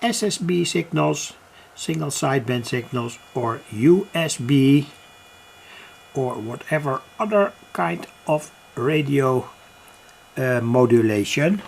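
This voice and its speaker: A slow-speaking hobbyist walks through an informal demonstration in a halting, piecemeal way. The voice sits at a medium pitch, with many pauses between short phrases. Radio static and noise are noticeable in the home recording. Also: lively intonation, gravelly. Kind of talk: unscripted demo vlog explanation. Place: home, indoors near a kitchen. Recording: noisy room with radio static.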